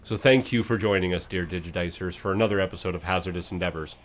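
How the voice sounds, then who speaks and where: A man narrating a tabletop game dramatically as game master, with deliberate, suspenseful phrasing. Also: deep voice. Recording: clear background noise and ambience.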